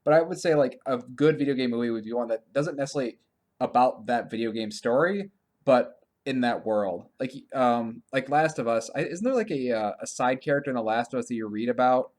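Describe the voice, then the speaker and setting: A man co-hosting a podcast in casual conversation, informal and thinking out loud as he talks. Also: medium pitch, a little fast. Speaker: podcast co-host. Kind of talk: casual podcast chat about games and films.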